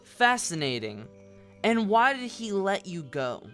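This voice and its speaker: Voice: medium pitch, very animated, slow pace, over music. An actor playing a formal, accusatory prosecuting lawyer with an oratorical delivery in an audio drama. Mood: probing. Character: formal, theatrical prosecuting lawyer in a fantasy court. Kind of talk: scripted audio drama courtroom speech.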